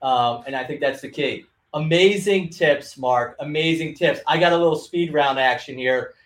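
A man's voice: fairly high pitch, normal speed. A man hosting a casual interview podcast, enthusiastic and prone to repeating his phrases. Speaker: podcast host. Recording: echoey room.

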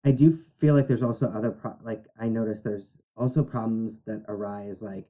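A man co-hosting a podcast who talks in an animated, halting way with lots of fillers. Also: lowish voice. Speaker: podcast co-host. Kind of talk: casual conversation.

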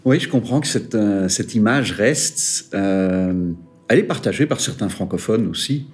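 A man answers interview questions as a regional director, weighing his words and leaning on key points. His voice sits low, with faint music in the background. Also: expressive, a little mellow. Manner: measured, hesitant fillers, stressing key points. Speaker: regional director of an employers' federation, guest.

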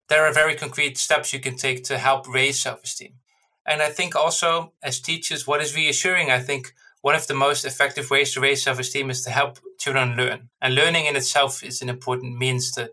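A man's voice: mid-range voice, sharp tone. A man explaining research findings carefully in an interview, sometimes repeating words. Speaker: education researcher. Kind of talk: interview answers.

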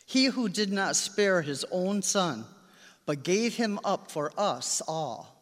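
A man's voice: sits high, expressive, takes it slowly, a bit bright. A man reading scripture aloud in a formal style at a church service. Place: large reverberant church. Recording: long echoing church reverb.

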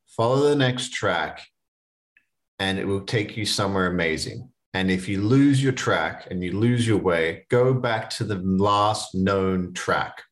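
Young man, fairly low voice, solo podcast host, earnest and casual chat. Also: a little slow. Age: 20s. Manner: casual, earnest, conversational with restarts. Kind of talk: solo motivational podcast talk.

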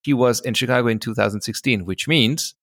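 A man's voice: medium pitch, a little fast, fairly bright tone, no room sound, clean studio sound. A man speaking as a podcast co-host, telling stories in a casual, conversational way.

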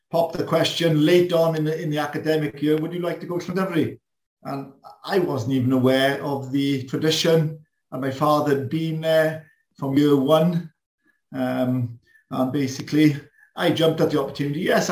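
A Welsh man with a slightly high voice, reminiscing in a loose, rambling way. His first language is Welsh. His voice bounces around a roomy, echoey space. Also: normal speed. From Wales. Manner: relaxed, rambling with many fillers. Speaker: guest, former pupil. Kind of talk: reminiscing in a group video chat.

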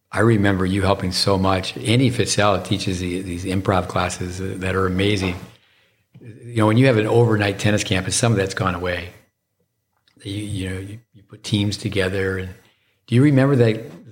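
A man hosts a tennis podcast in loose, rambling talk, stumbling and repeating words as he goes. His voice is husky and gravelly and sits fairly low. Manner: rambling, repetitive, stumbling restarts. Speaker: podcast host and tennis coach. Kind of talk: casual interview chat.

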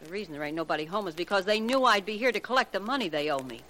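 Radio housemaid, actress: forceful edge, brash, slangy, aggrieved, demanding, vintage noisy sound. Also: female voice, mid-range voice, expressive, rapid-fire, natural voice. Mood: brash, scornful. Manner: blunt, slangy, complaining. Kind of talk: 1940s American radio character acting. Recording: noisy old radio recording.

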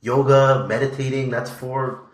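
A man's voice: mid-range voice, little pitch movement, unhurried, roomy sound. A man speaking as a podcast guest, earnest and reflective, with lots of "like" and "you know". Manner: earnest, filler-heavy, reflective. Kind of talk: casual interview conversation.

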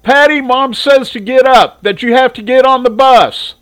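A man's voice: fairly high pitch, lively intonation, normal speed, natural voice. Guy doing the tired teen brother, near his own voice, a bit raised. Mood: weary. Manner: pleading then firm. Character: weary teenage boy, older brother.

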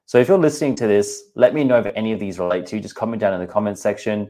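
Low-voiced Australian man hosting a podcast. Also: rapid-fire, dark tone. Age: 30s. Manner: chatty and candid, addressing listeners directly. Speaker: podcast host and personal trainer. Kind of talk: casual podcast monologue.

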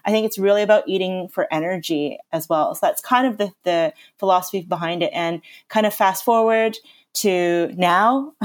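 Canadian chef lady in her 30s, low-ish voice, chatty and upbeat, fillers everywhere. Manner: upbeat, chatty, many "you know" fillers. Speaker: chef and lecturer, podcast guest. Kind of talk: conversational podcast interview.

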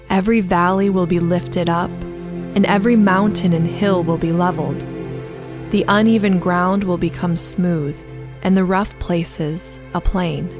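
Slow-reading woman over music. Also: on the low side. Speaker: scripture reader. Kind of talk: scripture reading. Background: new-age instrumental music.

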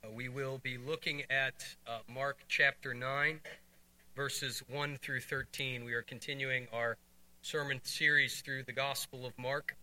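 A pastor with a cold preaches in a hoarse but bright, forceful voice. He speaks earnestly and at a measured pace, pausing often on small fillers. His pitch sits in the ordinary middle range for a man. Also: steady tone, poor audio. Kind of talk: sermon with scripture reading.

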